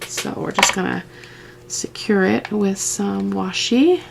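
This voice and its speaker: A middle-aged woman with a croaky, stuffy head-cold voice, chatting through a crafting tutorial step by step with casual asides. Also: mid-range voice, slow, slight background noise. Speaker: craft vlogger.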